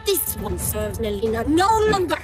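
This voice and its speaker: A scheming insect-like female minister from a fantasy game. The voice sits a little high and moves widely in pitch. She is formal and detached, quietly menacing in every measured phrase. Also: big pitch swings, over loud music. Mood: cold defiance. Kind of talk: dramatic fantasy game acting.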